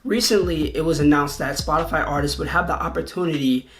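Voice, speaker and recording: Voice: medium pitch, fairly even intonation, a little fast, a bit bright, slight background noise. A video host, delivering conversational video essay commentary.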